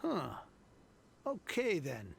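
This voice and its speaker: An actor playing a nervous, downtrodden trader in a game. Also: male voice, on the high side, huge pitch swings, very slow, vocal fry, husky, full character voice. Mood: anxious. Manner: anxious, disbelieving. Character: nervous, downtrodden middle-aged male trader.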